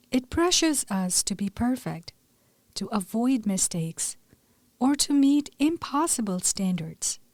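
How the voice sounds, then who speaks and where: A woman leading a gentle scripted guided meditation in a soothing, measured way, her soft voice turning breathy at phrase ends. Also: medium pitch, dry recording.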